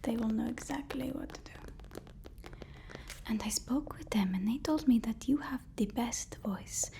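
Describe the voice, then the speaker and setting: Whispering woman in soft ASMR roleplay. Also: a little high. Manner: soft whispering, slow and hesitant, repeating words. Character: deferential, flattering personal assistant to a super villain. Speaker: ASMR performer.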